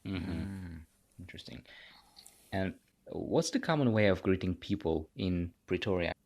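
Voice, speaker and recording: A man's voice: low-pitched, lively intonation, unhurried, a little mellow. A man from Tajikistan hosting a podcast interview, asking curious questions with short interjections. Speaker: podcast host. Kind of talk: casual interview.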